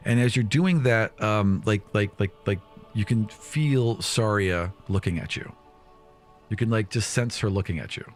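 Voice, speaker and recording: A man improvising narration as game master of a tabletop game, casual and halting, often repeating words. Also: lowish voice, soft background music.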